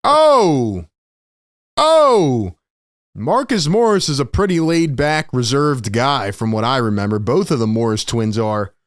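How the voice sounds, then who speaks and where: A man hosting a sports reaction show, reacting excitedly and sounding slightly hoarse from yelling. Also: mid-range voice, unhurried, no echo, clean studio sound. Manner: excitable, animated exclamations. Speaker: sports podcast host. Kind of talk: live reaction commentary.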